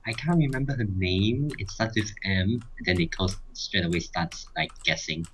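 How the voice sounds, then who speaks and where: A man telling a casual anecdote in a halting, repetitive, rambling way. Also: deep voice, expressive, fairly bright tone. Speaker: guest storyteller. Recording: noisy home mic, clicking and typing. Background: clicking, keyboard typing, background noise.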